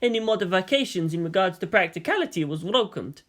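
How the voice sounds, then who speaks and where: A man voicing a calm, earnest ambassador in a story reading, with a formal, diplomatic manner. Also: high-pitched, fast talker. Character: deferential, persuasive male ambassador, calm and earnest.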